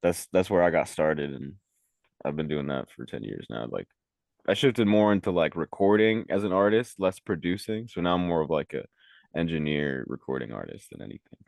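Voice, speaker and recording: A man's voice: rumbling low, animated, moderate pace, creaky, darkish tone, dry recording, clean studio sound. A young man from Venezuela, a rapper and engineer, chatting casually in an interview, rambling and slangy with lots of "like". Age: young adult. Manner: laid-back, rambling, heavy on "like" and slang.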